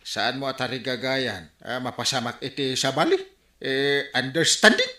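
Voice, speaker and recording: A man playing an exasperated, scolding village captain in an Ilocano radio comedy drama, animated and full of reproachful exclamations. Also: mid-range voice, moderate pace, echoey room.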